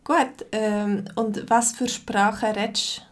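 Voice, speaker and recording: A woman with a Zurich Swiss German accent who hosts the interview and asks her guest gentle, leading questions. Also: medium pitch, fairly even intonation, takes it slowly. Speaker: host and language teacher. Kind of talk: interview host.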